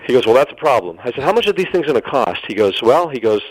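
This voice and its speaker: A man's voice: mid-range voice, big pitch swings, quick pace, no room sound, rough recording. Earnest middle-aged man telling a dramatic story. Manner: earnest, dramatic, acts out quoted dialogue, repeats phrases for emphasis. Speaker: interview guest, theatre founder and storyteller. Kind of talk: animated personal storytelling in a radio interview.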